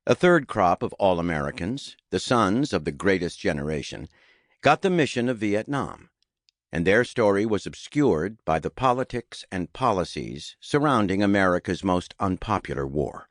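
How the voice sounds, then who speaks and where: A man reading a nonfiction audiobook in a measured, deliberate way, as a scripted narration. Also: low-pitched, sing-song, no echo, clean studio sound. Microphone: close studio microphone.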